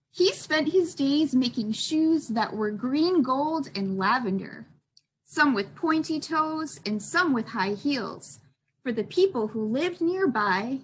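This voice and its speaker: A woman's voice: high-pitched, slight background noise, rough recording. A woman librarian reading aloud for a children's storytime, expressively addressing young listeners.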